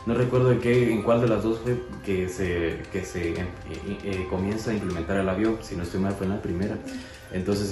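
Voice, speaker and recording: A man explaining history as co-host in a casual educational chat, with many fillers and restarts. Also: lowish voice. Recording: echoey room with music.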